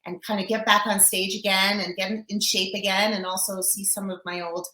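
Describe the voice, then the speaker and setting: A woman's voice: medium pitch, flat delivery, a little fast, bright, forceful tone, roomy sound, poor audio. A woman from Canada in her forties, a guest telling her story in a chatty, upbeat interview with lots of fillers. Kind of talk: casual interview chat.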